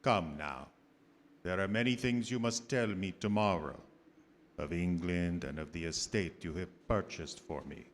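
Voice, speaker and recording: An actor playing a sinister, aristocratic male vampire in a gothic radio drama, with deliberate, menacingly polite delivery. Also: deep voice, a little hiss or hum. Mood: sinister, courteous.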